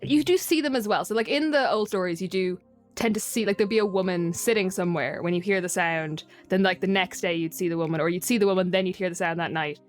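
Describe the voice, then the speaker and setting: A woman's voice: lowish voice, fast, faint music behind. An Irish woman chatting casually as a guest, telling stories in a wry, self-deprecating way. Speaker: podcast guest. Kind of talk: casual storytelling chat.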